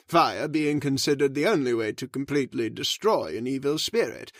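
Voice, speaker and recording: A man playing a solemn caretaker who slowly tells a dark family legend in an old-time radio drama. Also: sits high, no room sound, clean studio sound, full character voice. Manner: slow, ominous, measured delivery. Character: grave, solemn male storyteller of the house. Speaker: Mister Roberts, old manor caretaker telling the legend.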